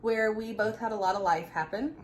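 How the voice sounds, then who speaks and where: A woman in her thirties telling a personal story in a casual, chatty, animated way. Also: medium pitch, fairly quick. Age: 30s. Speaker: vlog co-host. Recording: clearly noisy room ambience.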